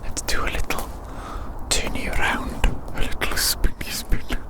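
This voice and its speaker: Slow ASMR whisper. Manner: playful, soothing, cutesy wording. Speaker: ASMR host. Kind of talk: ASMR whispered roleplay ramble. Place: outdoors in winter woods.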